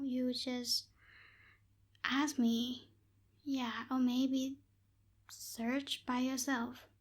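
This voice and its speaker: Woman doing a bossy young night witch for asmr roleplay, high voice, super slow n deliberate, soft close-mic asides. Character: proud young female tsundere night witch, bossy but helpful. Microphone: close binaural microphone.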